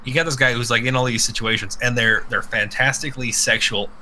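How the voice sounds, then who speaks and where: A man's voice: mid-range voice, fairly even intonation, fast, sharp tone, a little hiss or hum, poor audio. A man co-hosting a casual podcast, telling rambling stories in a crude, profane way. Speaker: podcast co-host. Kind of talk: casual podcast banter and storytelling.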